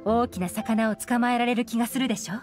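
A woman's voice: a little high, fairly even intonation, quick pace, close to an everyday voice. A woman playing a self-assured, sly young intelligence agent in an anime game, composed, teasing and knowing. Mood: confident. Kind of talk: Japanese anime game voice acting. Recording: music behind the voice.

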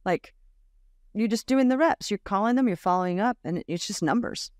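Animated woman storyteller on a video call. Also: medium pitch, normal speed, no echo, clean studio sound. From Australia. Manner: animated storyteller, acts out quoted voices, laughs often. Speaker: guest. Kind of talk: casual interview storytelling. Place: home room with bookshelf. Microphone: large studio mic on boom arm, video call.

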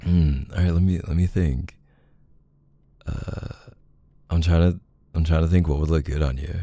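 A man with a deep, mellow voice plays an affectionate boyfriend in an intimate roleplay. He talks casually and unhurriedly, hesitating in a bashful, playful way. The recording is close and dry. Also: steady tone, normal speed, no room sound. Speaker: ASMR boyfriend roleplay performer. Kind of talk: intimate casual one-sided roleplay acting.

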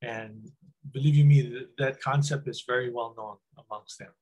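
A man's voice: medium pitch, fairly even intonation, moderate pace, a little mellow, breathy edge, low-quality recording. A middle-aged man from Hawaii, giving a casual, rambling talk over a webcam call, with lots of ums and restarts. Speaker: guest speaker. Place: small room indoors. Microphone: webcam on video call.

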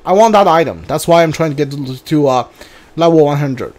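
A man chatting casually on a live game stream, halting and often repeating himself as he talks. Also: on the high side, expressive, darkish tone.

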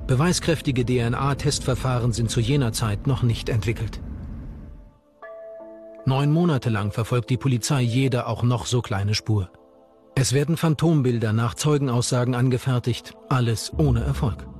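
A man narrates a true crime documentary from a script. His voice sits at a middling pitch, with music playing faintly underneath. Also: moderate pace, a little mellow. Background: background music.

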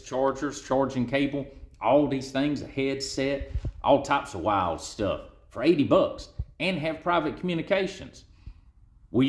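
Echoey, plainspoken American man. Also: medium pitch. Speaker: preparedness video host. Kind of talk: casual explainer to camera. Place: indoors, small bare-walled room. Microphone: phone at arm's length. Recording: echoey indoor room, phone recording.

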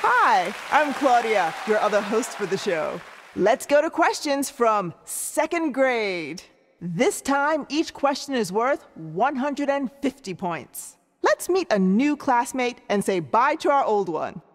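A woman's voice: a little high, very animated, takes it slowly, exaggerated voice. A woman playing a cheerful cartoon co-host of a video game quiz show, with a presenterly announcing manner. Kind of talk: scripted game show hosting. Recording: reverberant studio with crowd noise.

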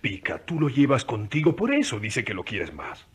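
An actor playing a busy, impatient but affectionate merchant husband in a radio drama, brusque and emphatic. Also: male voice, medium pitch, big pitch swings, normal speed, husky. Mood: impatient, insistent.

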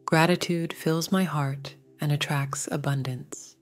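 A woman narrating a scripted guided meditation in a gentle, soothing, measured way. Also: low-pitched, fairly even intonation, soft background music.

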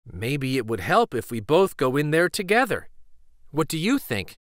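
A man's voice: fairly high pitch, very animated, normal speed, dry recording, clean studio sound. A man voicing a nervous, bookish young schoolboy in a storybook, unsure and earnest.

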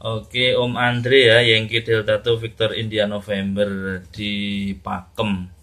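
A man's voice: lowish voice, level tone, slow pace, pushed and bright. A man in his thirties with a Javanese regional Indonesian accent, chatting casually as an amateur radio operator and channel host in a small room at home. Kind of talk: casual two-way radio chat to camera. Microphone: camera at arm's length, handheld radio microphone in hand. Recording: noisy room recording with clicks.

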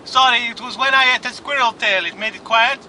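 A man doing a high bumbling prank caller. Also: a little fast, sharp tone, a little hiss or hum, full character voice. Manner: bumbling, absurd, feigned confusion. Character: dim foreign-sounding male caller Ravosh.

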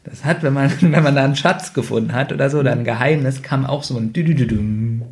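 A man's voice: medium pitch, fast talker. A male podcast co-host giving opinions in casual chat, emphatic and repeating phrases to stress them.

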